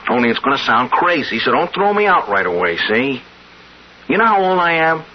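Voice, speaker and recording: A man's voice: mid-range voice, sing-song, fairly quick, close to an everyday voice. An actor playing an earnest, insistent young pool shark in his twenties, talking urgent street talk in old-time radio drama style. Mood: nervous, insistent. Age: 20s. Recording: dull, hissy old radio recording.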